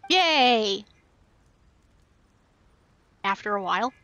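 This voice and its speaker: A woman's voice: mid-range voice, animated, extremely slow, sharp tone, dull audio. A woman live-streaming a game in casual commentary, playfully and wryly talking back to the characters. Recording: faint game music behind.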